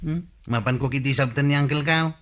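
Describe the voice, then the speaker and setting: A man's voice: fairly high pitch, fairly even intonation, quick pace, fairly bright tone, lightly acted. A man with an Ilocano accent playing a cheeky, cajoling lazy nephew in a radio comedy drama. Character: cheeky freeloading nephew, a lazy tricycle driver.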